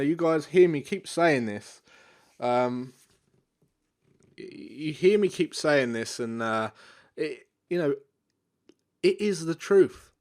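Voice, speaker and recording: Stuffy-nosed man explaining charts. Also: mid-range voice. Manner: relaxed, repeats himself, many fillers. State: congested, stuffy nose. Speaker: forex trading educator. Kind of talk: live market analysis walkthrough.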